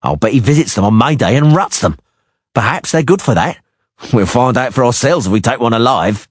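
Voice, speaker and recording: A man's voice: medium pitch, lively intonation, fairly quick, breathy edge, no room sound, clean studio sound, voice pushed up. A man doing a sneering crude mercenary. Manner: mocking, foul-smiling. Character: sneering beady-eyed male mercenary, crude and mocking.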